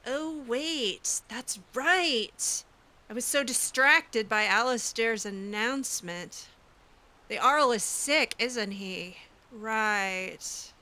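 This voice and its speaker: A woman's voice: a little high, very slow, pushed and bright. A woman giving casual, in-character roleplay commentary on a video game, sarcastic and exasperated. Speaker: gamer playing in character.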